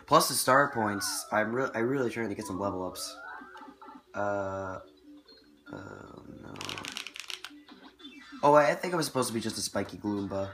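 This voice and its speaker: A man's voice: lowish voice, big pitch swings, moderate pace, long pauses, background noise. A man with a cold, losing his voice, chatting casually as he plays and comments on a video game. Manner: chatty, self-correcting, reacting to the game. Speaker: let's play host. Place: indoor room with TV, filmed on camera. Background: game music.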